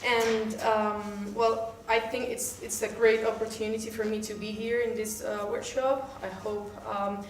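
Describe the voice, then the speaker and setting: A woman's voice: on the high side, level tone, unhurried, few pauses. A woman from southern Spain with a Spanish accent introduces herself to a group in English as a second language, hesitantly searching for words. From Malaga, southern Spain. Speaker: workshop participant. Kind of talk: informal self-introduction to a group. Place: echoey room. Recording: roomy, distant mic, faint noise.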